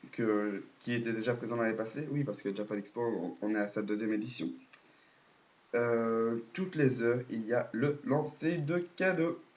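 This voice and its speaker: A man's voice: mid-range voice, darkish tone. Hesitant man with a cold. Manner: hesitant, many fillers and restarts. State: has a cold, coughing. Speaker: video blogger. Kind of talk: casual audio recap.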